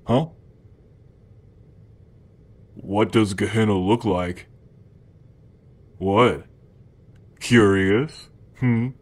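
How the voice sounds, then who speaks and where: A man's voice: fairly low pitch, extremely slow, long pauses. A man playing a cocky, food-obsessed male demon in an ASMR roleplay, talking to the listener in a casual, hesitant slacker drawl. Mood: amused, casual.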